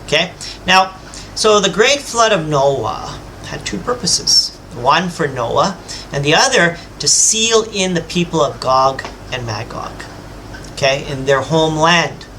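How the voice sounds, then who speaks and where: Combative man lecturing with a bright, tense voice. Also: a little high, lively intonation, measured pace, slight background noise, poor audio. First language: English. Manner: emphatic, lecturing, addresses opponent directly; boastful, mocks his own ego. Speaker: self-styled religious teacher rebutting a scholar. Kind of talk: combative rebuttal monologue.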